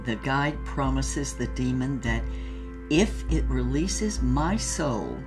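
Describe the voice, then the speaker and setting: A woman's voice: rumbling low, expressive, slow, music in the background, heavily acted. An actress playing an older, reflective woman with a wry, emphatic way of telling her mystical life story in a drama. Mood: intense, awed. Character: old woman, reflective mystical storyteller and former fugitive artist. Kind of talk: dramatic first-person storytelling, acted as conversation.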